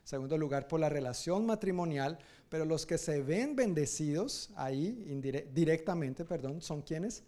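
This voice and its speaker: Casting: man, pastor addressing a congregation, higher-than-average mellow voice, loose humorous delivery with self-corrections. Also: animated, moderate pace, hardly any pauses. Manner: informal, jokey, self-correcting. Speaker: pastor leading announcements and introducing the speaker. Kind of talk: church announcements to a congregation.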